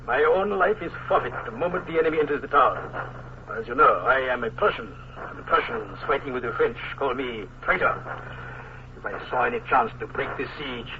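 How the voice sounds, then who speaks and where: An actor playing a stern, fatalistic Prussian colonel in an old radio drama, with precise, formal speech. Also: male voice, medium pitch, lightly acted. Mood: grave. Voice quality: precise, formal, deliberate phrasing. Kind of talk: 1950s radio drama acting. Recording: noisy lo-fi old radio recording.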